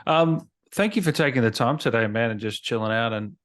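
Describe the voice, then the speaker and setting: A man's voice: medium pitch, fairly quick, fairly bright tone. A man interviewing a guest in a casual, laid-back chat, slangy and encouraging. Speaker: interviewer, gaming show host.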